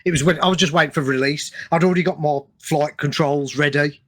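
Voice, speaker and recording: A man hosting a casual interview podcast, talking haltingly and repeating his words as he goes. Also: a little high, fairly even intonation, a bit bright. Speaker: podcast host.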